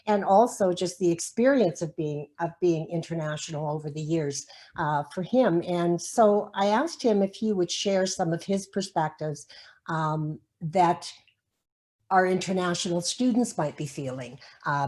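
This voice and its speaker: Low-voiced woman introducing a speaker. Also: darkish tone. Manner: hesitant and friendly, with frequent fillers. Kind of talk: casual spoken introduction.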